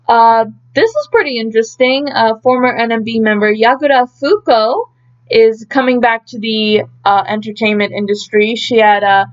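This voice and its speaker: A woman with a fairly high-pitched voice presents news in a casual, chatty way. She pauses and thinks aloud as she goes through her notes. The sound is a little muffled and lo-fi, like a simple home recording. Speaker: host of an idol news update.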